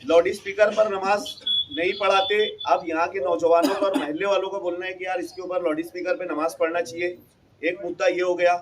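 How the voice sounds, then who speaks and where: A man's voice: high voice, little pitch movement, brisk, far from the mic, a crowd in the background, low-quality recording. A man making an impromptu, insistent speech at a public meeting, often repeating his points. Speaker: community member speaking at a public meeting. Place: local community meeting, at a distance from the mic.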